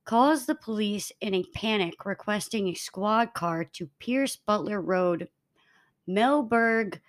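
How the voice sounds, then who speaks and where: A young woman co-hosting a casual podcast, telling a story in a matter-of-fact way to her friends. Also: medium pitch. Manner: matter-of-fact, laughs between lines. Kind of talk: reading a case aloud to co-hosts.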